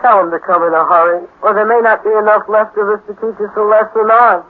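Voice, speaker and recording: A man's voice: sits high, steady tone, fast talker, lightly acted. A man acting a nervous, wisecracking boy sidekick in a 1950s radio drama, plaintive and comically glum. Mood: scared, joking. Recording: tinny 1950s radio recording.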